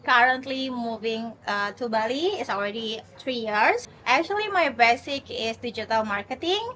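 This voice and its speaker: A woman from Indonesia speaks quickly in simple phrases. Her voice is high and bright, with a sharp edge. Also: expressive. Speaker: interviewee, digital marketer.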